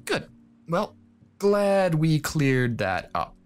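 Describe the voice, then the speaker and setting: A man's voice: on the high side, big pitch swings, extremely slow, clean tone, faint music behind, full character voice. An actor playing a young male radio host, off air and speaking in an earnest, thoughtful, slightly wry way. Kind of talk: audio drama acting.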